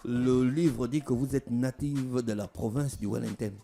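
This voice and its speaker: A man's voice: medium pitch, unhurried, gravelly, mellow, soft background music. A man hosting a morning radio show, asking casual, playful questions. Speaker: radio host. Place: radio studio.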